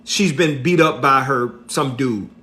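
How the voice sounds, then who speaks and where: A middle-aged man giving a motivational talk on a livestream, slow and preachy, repeating his points and often checking in with "right?". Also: mid-range voice, sharp tone. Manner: slow and deliberate. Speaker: motivational speaker.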